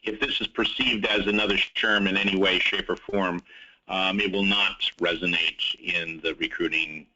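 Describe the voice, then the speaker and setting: A man's voice: low voice, fairly even intonation, moderate pace, noisy background. A man moderating an informal discussion on a conference call. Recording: dull conference call line.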